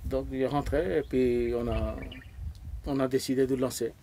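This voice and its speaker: Older guy around 70, earnest, kinda halting, repeats himself finding words. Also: mid-range voice, steady tone, warm tone, slight background noise. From Pakistan. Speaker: interview guest. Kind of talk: casual interview answers.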